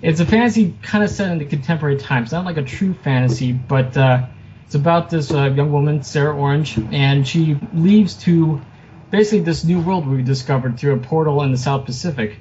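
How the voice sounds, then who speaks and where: A muffled, lo-fi recording with some background noise carries a man's slightly high voice. He is a guest author in a casual interview, talking haltingly and with a self-deprecating manner. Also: darkish tone.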